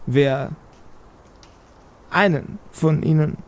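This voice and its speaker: A man voicing a small, spiteful talking skull that announces for witches in a tabletop game, haltingly, with a chanted refrain. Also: on the high side. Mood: spiteful, announcing.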